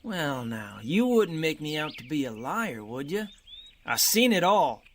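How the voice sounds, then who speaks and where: A man acting in a radio drama as a stubborn, spiteful working-class neighbor, defensive and folksy, with rough grammar. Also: on the high side, sing-song, a little slow.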